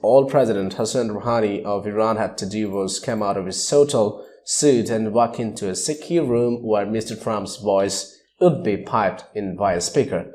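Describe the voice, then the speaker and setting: A man's voice: fairly low pitch, normal speed, few pauses, darkish tone. A man reading scripted news narration as a news reader.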